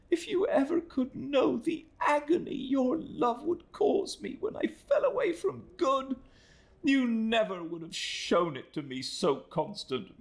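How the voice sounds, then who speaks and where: A man voicing a desperate young woman who weeps and begs for mercy in a high, sobbing voice. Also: gravelly, exaggerated voice.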